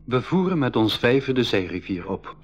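An expedition member recounting his adventure for a radio drama. His mid-pitched voice tells the story in a measured way. The 1980s recording sounds dull. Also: sing-song, faint music behind. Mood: measured storytelling. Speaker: narrator. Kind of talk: scripted first-person radio drama narration.

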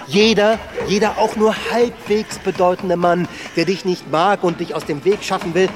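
An actor in a radio play voicing a boisterous, cynical travelling player with a theatrical, mocking manner. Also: male voice, high voice. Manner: theatrical, mocking, swinging from hushed to ranting. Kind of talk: radio drama acting.

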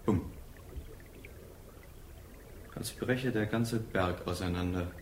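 An actor in a radio drama playing a dead soldier who bitterly recounts his end in terse, halting fragments. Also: deep voice. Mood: grim, matter-of-fact. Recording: roomy echo with faint noise.

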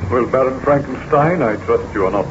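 A man's voice: mid-range voice, brisk, natural voice. An actor playing a courteous man questioning a nobleman, in 1930s radio serial style. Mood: attentive. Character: courteous male listener questioning a baron about his story. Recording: noisy muffled 1930s radio recording.

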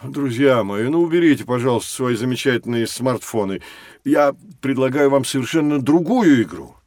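An actor playing a kindly, enthusiastic professor, explaining things expressively to children in a scripted educational audio drama. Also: male voice, mid-range voice, lively intonation, normal speed, darkish tone, no echo, close to an everyday voice. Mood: gently flustered. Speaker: professor, teacher.

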